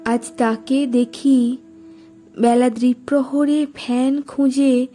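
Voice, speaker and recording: Slow, high-voiced woman reciting poetry. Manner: measured, deliberate phrasing with expressive pauses. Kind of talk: dramatic Bengali poetry recitation.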